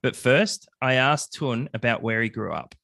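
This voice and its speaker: A man hosting a podcast, asking clear questions with brief encouraging replies. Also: medium pitch, moderate pace, bright, forceful tone, no echo, clean studio sound. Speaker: podcast co-host and migration agent. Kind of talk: interviewing guests.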